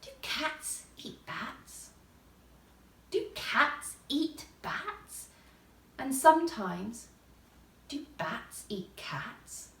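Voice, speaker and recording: Woman as Alice, a high, lilting, curious little girl. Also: sing-song, extremely slow, pauses often, fairly bright tone, reverberant, recorded from a distance, full character voice. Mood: drowsy, dreamy. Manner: musing aloud to herself, wondering.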